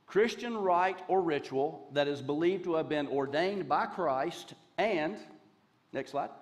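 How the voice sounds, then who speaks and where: A man in his fifties from Charlotte with a Southern accent, a guest pastor giving a conversational sermon on a church stage with folksy jokes and asides, speaking into a headset mic. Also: fairly high pitch. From Charlotte, North Carolina. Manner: folksy, joking asides, gestures while pacing the stage. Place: large dark church stage.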